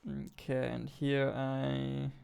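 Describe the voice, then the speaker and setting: A man in his twenties, a game developer, casually thinking aloud in a halting mutter as he works at his desk with a headset on. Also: medium pitch, completely flat delivery, creaky, darkish tone, clear, resonant tone. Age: 20s. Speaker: game developer, host of a devlog. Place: indoors at a desk.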